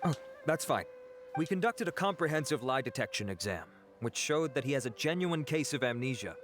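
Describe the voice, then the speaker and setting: An actor playing a dutiful law-enforcement officer in a video game, formal and businesslike. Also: male voice, medium pitch, sing-song, a little fast, close to an everyday voice. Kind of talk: scripted video game voice acting. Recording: music behind the voice.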